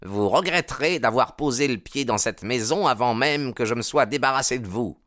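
A man's voice: medium pitch, moderate pace, a bit bright, dry recording, clean studio sound, pitched above the performer's own voice, natural voice. A man voicing an arrogant, jealous young rival in an audiobook, brusque, sneering and hot-tempered. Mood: threatening.

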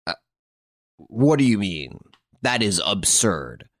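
An impatient male judge as a man narrating an audiobook plays him interrogates witnesses in a courtroom story. He exclaims and demands answers in a low voice, recorded dry. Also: very animated, normal speed, dry recording. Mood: nonplussed.

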